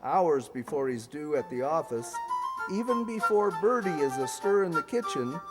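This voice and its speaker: An actor playing a polished, enthusiastic 1940s radio announcer and pitchman in an emphatic style. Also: animated, normal speed, loud music behind the voice, close to an everyday voice. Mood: lyrical. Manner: emphatic, salesmanlike. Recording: echoey hall stage recording.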